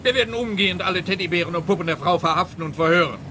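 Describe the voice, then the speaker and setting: A man's voice: sits high, racing, noisy background, natural voice. An actor playing a pompous, pedantic policeman who lectures with condescension in a comic radio play. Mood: self-important. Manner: condescending, lecturing, self-important.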